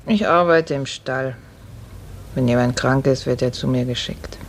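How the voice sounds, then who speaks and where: A woman's voice: extremely low pitch, a little slow. Motherly, world-weary peasant woman. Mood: soothing, motherly. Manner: soothing, resigned. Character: motherly, world-weary stable woman who tends the sick. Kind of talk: 1950s German radio drama acting. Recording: faintly noisy 1950s radio recording.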